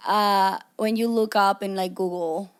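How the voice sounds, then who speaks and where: A young woman from Ecuador talks as a podcast guest. Her speech is loose and chatty, full of fillers, and she laughs easily as she goes. Her voice sits at a middle pitch. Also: steady tone, measured pace, clear, resonant tone. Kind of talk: casual chat.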